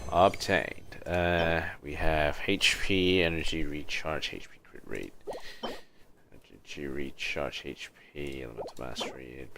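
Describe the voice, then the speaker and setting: A man's voice: rumbling low, takes it slowly, gravelly, background noise. A man giving casual livestream commentary on a video game, often muttering to himself as he goes. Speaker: game streamer. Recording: game music behind the voice. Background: video game music.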